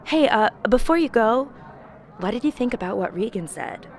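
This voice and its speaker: An actress playing a casual, breezy modern high school girl in a fantasy audio drama. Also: lively intonation, moderate pace.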